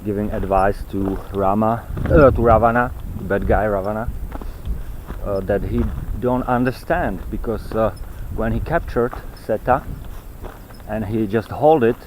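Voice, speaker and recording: A man giving an unscripted, rambling monologue while walking outdoors, halting and fragmented as he searches for words. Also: on the low side, background noise. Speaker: vlogger. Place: outdoors on a walk in nature.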